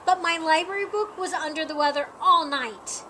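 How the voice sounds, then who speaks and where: Woman acting young schoolgirl, high voice, excitable, earnest, exclaiming, storybook reading, noisy recording. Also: normal speed. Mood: apologetic. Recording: clearly noisy background.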